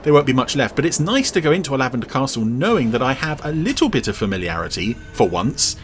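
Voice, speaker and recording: A man's voice: medium pitch, very animated, quick pace. A man giving casual, chatty and slightly wry commentary over a cartoon for a podcast.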